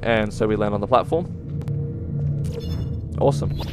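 A young man giving casual gameplay commentary, excitable and prone to sudden yelps, his throat slightly dry and hoarse. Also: lowish voice, moderate pace. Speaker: let's play gamer. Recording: voice over game music.

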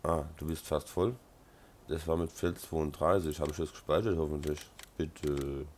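Man, Rhine-Hessian German accent, hoarse, stuffy nose, mumbling, very low voice, faint hiss. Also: steady tone, normal speed, a little mellow. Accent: Rhine-Hessian German dialect. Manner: mumbling, many ums and uhs, talks to the game. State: hoarse with a sore throat and blocked nose. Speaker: let's play host. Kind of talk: casual gameplay commentary.

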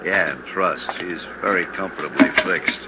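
A man's voice: sits low, moderate pace, close to an everyday voice. An actor playing a big, blustery middle-aged insurance man in a 1950s radio drama, talking in a chummy, folksy way. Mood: confiding. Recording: noisy, dull 1950s radio recording.

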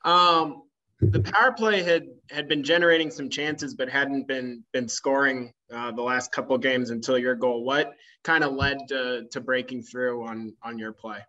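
Reporter guy on a video call, bright sharp voice, a bit muffled, stumbles on words. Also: medium pitch, measured pace. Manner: hesitant, repeats words as he asks. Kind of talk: asking questions over a video call. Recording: muffled remote call audio.

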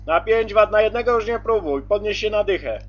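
A man's voice: high voice, expressive, brisk, dull audio. A man talking casually as a CB radio operator, repeating himself as he checks and confirms reception. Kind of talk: casual radio contact talk.

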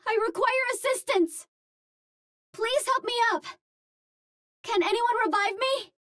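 An actress playing a cute, earnest young Martian heroine in a video game, speaking politely in precise, formal phrases. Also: female voice, very high-pitched, fairly bright tone, full character voice. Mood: polite, eager. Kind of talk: video game character voice lines.

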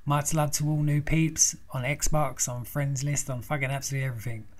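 Croaky, foul-mouthed gamer man. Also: mid-range voice, fairly even intonation, a little fast. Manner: swears constantly, rambling and chatty. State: croaky from a throat infection. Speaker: gaming video host. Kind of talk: casual profane game walkthrough commentary.

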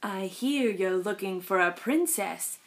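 A woman voicing a sly, scheming fox in a picture book, coaxing and smooth-talking. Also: mid-range voice, expressive, unhurried, voice pushed down. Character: sly, scheming fox offering false help.

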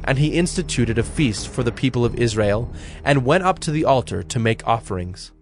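A man narrating a dramatized Bible in a measured, solemn storytelling style. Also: mid-range voice, expressive, soft background music.

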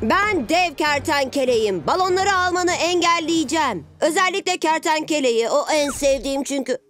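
A woman voicing an eager, overconfident six-year-old boy superhero in a cartoon dub, earnest and excitable. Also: sits high, normal speed, a little hiss or hum. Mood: boastful. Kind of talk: animated cartoon dub acting. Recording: music playing under the voice.

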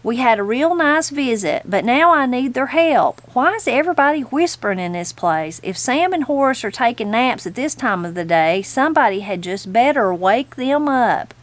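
A woman's voice: on the high side, lively intonation, normal speed, few pauses, pitched above the performer's own voice, lightly acted. A woman voicing a feisty old backwoods granny, blunt, folksy and indignant, as a storybook character. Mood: friendly, insistent.

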